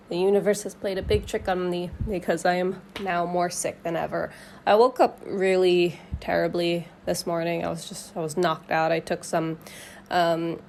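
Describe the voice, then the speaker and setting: Nasal, hoarse young woman vlogging. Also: lowish voice, darkish tone, a little hiss or hum. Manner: chatty, thinking aloud with fillers. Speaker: knitting vlogger. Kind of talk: casual vlog to camera.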